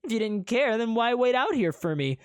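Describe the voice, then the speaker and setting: A man's voice: extremely high pitch, sing-song, moderate pace, a little hiss or hum, pitched above the performer's own voice. A storyteller voicing a cheeky, boastful young male commoner hero who teases his rival. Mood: teasing.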